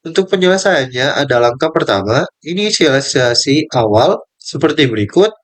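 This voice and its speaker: A man's voice: medium pitch, normal speed. A young male student presenting his part of a group lesson, reading out steps and table values with small slips and restarts. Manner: reads numbers in steady lists, with small slips and restarts.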